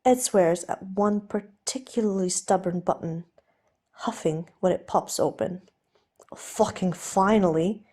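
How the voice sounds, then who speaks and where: A woman's voice: mid-range voice, measured pace, dark tone, husky. A woman reading scripted fiction aloud as an audiobook narrator.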